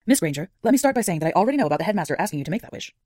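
A man voicing a strict but kindly older woman professor in an audiobook, with formal, gentle authority. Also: high voice, sing-song, rapid-fire, no echo, studio quality, voice pushed up. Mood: gently reproving. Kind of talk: audiobook character voice.

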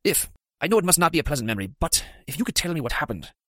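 Guilt-ridden potions master, formal and pained, lively pitch, brisk delivery, read by a man. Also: no room sound, clean studio sound. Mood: grave.

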